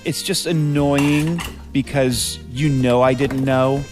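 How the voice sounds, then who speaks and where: Needy, wounded boyfriend played by a man, mid-pitched, halting and apologetic, naturalistic audio drama with prominent music. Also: fairly even intonation, darkish tone, natural voice. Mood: defensive. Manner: halting, rambling, self-correcting. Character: needy, hurt, apologetic human boyfriend being dumped.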